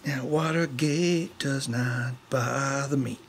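A man's voice: mid-range voice, takes it slowly, slight background noise. A middle-aged man at a workbench, explaining his soldering in a chatty way and drifting into joking tangents. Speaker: electronics maker and show host. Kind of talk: casual explanation while working.

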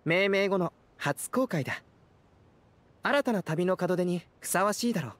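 High-voiced, composed young man. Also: animated, normal speed, fairly bright tone, slight background noise. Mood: calm. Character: calm, gentle, scholarly young man. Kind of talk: Japanese game voice acting.

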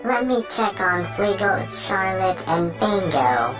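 A woman's voice: mid-range voice, sing-song, slow, loud music behind the voice. A woman voicing a bossy but easygoing organizer in a cartoon. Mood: friendly.

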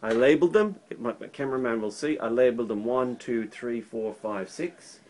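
Guy doing a casual how-to like a tradesman, mid pitch, repeats himself and keeps checking you follow. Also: fairly quick, a little mellow, slight background noise. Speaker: electrician demonstrating intercom setup. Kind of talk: informal how-to demonstration. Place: indoors at a work table. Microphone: handheld camera held by another person, a short way off.

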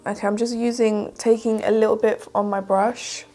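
A young woman in her twenties, a beauty vlogger chatting to camera while reviewing makeup, her voice croaky in the early morning. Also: a little high, level tone, normal speed, mellow, soft background music. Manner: chatty, rambling, addresses viewers as guys. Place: plain indoor room with plants.

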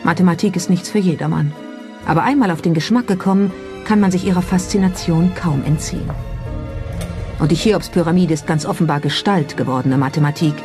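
Woman reading documentary script, lowish voice w music behind her. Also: brisk. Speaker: documentary narrator. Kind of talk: scripted narration.

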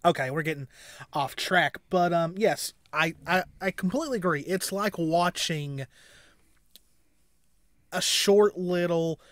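A man in his thirties giving casual, rambling reaction commentary as a co-host, halting and repeating words as he explains. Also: high voice, a bit bright, no echo, clean studio sound. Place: small indoor room at home.

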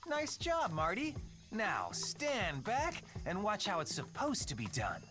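Showy, wildly animated American lion. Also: male voice, sits high, takes it slowly, exaggerated voice. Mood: cocky. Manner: cocky, theatrical. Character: showy, boastful adult male lion. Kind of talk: animated video game acting. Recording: game music behind the voice.

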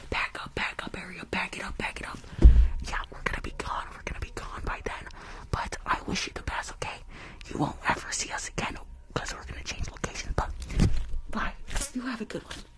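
A young woman playing a rushed, shady dentist in an ASMR roleplay, hurried and bossy, repeating herself in a breathy whisper. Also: medium pitch, close to an everyday voice. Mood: brisk, smug. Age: young adult. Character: rushed, shady female dentist stealing patients' teeth. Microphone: close microphone with earphones.

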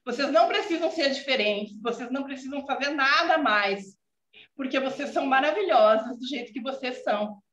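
A woman's voice: high voice, moderate pace. A Brazilian woman in her forties with a gaúcha accent, talking earnestly on a video call and often tagging her sentences with "né". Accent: Brazilian Portuguese, gaúcha. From southern Brazil. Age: 40s. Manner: warm, earnest, many filler "né" tags. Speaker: student guest. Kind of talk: casual video call chat. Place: home room indoors. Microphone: video call laptop mic. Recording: echoey, dull video call audio.

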